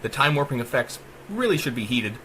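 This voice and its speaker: A man narrating a dark rhyming story as a dramatic audiobook, with theatrical, emphatic rhythm. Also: mid-range voice, sing-song, fairly quick, a little hiss or hum.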